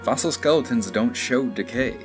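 A man's voice: medium pitch, moderate pace, faint music behind, natural voice. Man playing a polite, concerned tour guide. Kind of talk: audio drama acting.

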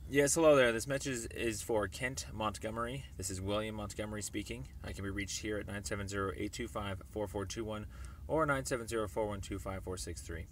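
A man leaving a formal voicemail message in an insistent, deliberate, halting way. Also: on the low side, a little hiss or hum.

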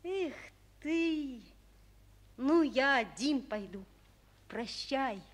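Very high-voiced plucky boy. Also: expressive, very slow, heavily acted. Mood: determined. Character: earnest, plucky, compassionate small boy. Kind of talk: 1940s radio play acting.

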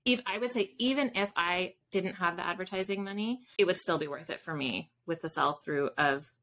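A woman's voice: on the low side, a little fast, fairly bright tone. A woman in her thirties talking as an interview guest in a casual, informal, explanatory way, on a video call from home. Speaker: podcast guest, author. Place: small room at home with bookshelves, video call. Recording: faint background noise.

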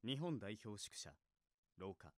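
A man's voice: on the low side, very animated, slow, noisy background. A man reading scripted narration for an anime audio drama.

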